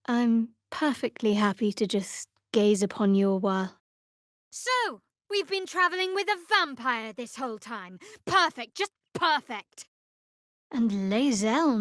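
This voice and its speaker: A woman playing a guarded, sardonic young woman cleric of a dark goddess in a video game, with a dry manner. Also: fairly high pitch, dry recording. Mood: soft, confiding, then tense, bitter, then measured, wry. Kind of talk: video game character voice acting.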